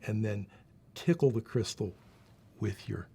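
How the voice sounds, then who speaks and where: A man's voice: fairly low pitch, a little slow, vocal fry, dark tone. Man demonstrating equipment, faint background noise. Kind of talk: unscripted hands-on explanation.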